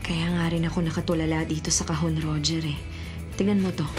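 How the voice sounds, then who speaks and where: A woman's voice: sits low, level tone, brisk, music in the background, natural voice. A Filipino actress playing a repentant woman con artist in a radio drama. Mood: preoccupied. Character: repentant female con artist starting over honestly.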